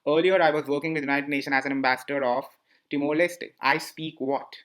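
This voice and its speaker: Man teaching over slides, slightly high voice. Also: fairly even intonation, fairly quick, a bit bright. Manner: repetitive, asks listeners questions. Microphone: voice-over on slide screencast.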